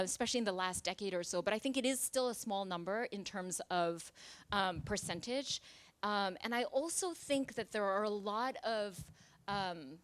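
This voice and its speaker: A woman's voice: medium pitch, no echo. Thoughtful woman novelist, dry sound. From Singapore. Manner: thoughtful, with many fillers and restarts. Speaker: novelist guest. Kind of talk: conversational author talk. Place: library event space with live audience.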